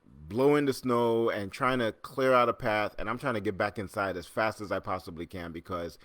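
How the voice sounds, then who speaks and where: A middle-aged man from Trinidad talks loosely to his livestream viewers from home, his voice sitting on the lower side and recorded close and dry. He rambles, restarts his sentences and stops to greet commenters by name. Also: normal speed, a bit bright, dry recording. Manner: rambling, chatty, many restarts and fillers, reads comments aloud. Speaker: host. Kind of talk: casual livestream chat. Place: home in New York City.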